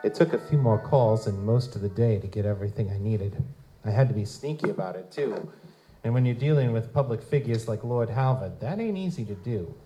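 A man's voice: lowish voice, expressive, a little fast, mellow, natural voice, poor audio. A man playing a streetwise, cheeky lutin goblin private investigator, narrating and talking in a casual, wisecracking noir style for an audio drama. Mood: wry, suspenseful. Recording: music behind, live stage performance.

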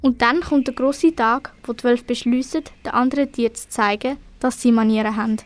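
A woman's voice: on the high side, fairly even intonation, brisk, faint music behind. A woman with a Swiss German accent narrating a scripted children's story for an amateur radio play.

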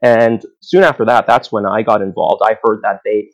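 A man's voice: on the low side, steady tone, moderate pace. A man from Hong Kong, in his thirties, talking casually as an interview guest, with many fillers and restarts. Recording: dull lo-fi audio.

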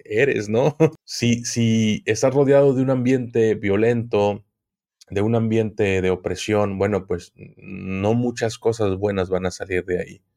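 A man, a guest on a podcast, explaining at length in a measured, hesitant way. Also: lowish voice, mellow. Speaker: guest occult expert. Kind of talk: podcast conversation.

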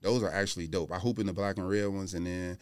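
Low voiced guy chatting on a podcast, slangy, telling it like a story, dry sound. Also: fairly even intonation, fast talker, smooth, dry recording. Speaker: podcast guest. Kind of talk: casual conversational chat.

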